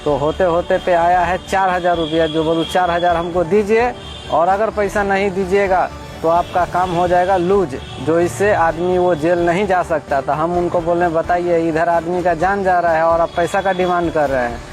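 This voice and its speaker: A man's voice: high voice, steady tone, normal speed, rough recording. A man with a Bihari accent talking in a plaintive, repetitive way, explaining and pleading. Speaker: complainant, patient's relative. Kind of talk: pleading phone talk and interview account. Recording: background music over recorded speech.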